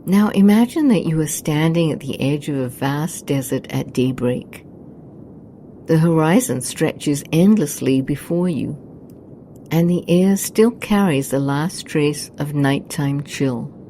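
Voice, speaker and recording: A woman narrating a scripted guided meditation in a soothing, hushed, unhurried manner. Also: low-pitched, slight background noise.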